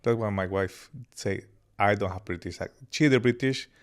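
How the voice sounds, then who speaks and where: A man in his thirties from Colombia with a Colombian accent and Spanish as his first language, telling his life story as a podcast guest in a relaxed, rambling way. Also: lowish voice, normal speed, very noisy. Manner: relaxed, laughs easily, rambling anecdotes. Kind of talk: casual interview storytelling.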